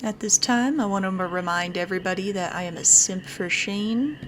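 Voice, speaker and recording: A woman's voice: mid-range voice, normal speed. A woman giving casual let's play commentary as the host of a gaming video. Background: music.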